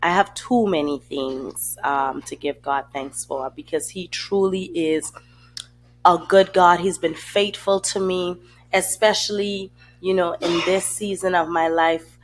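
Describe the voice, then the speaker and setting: A hoarse woman hosts a faith podcast while she recovers from a cold. Her voice is dry and raspy and sits fairly low, and she talks slowly and earnestly. She reflects out loud and often restarts her sentences. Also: steady tone. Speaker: podcast host. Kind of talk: casual faith podcast talk.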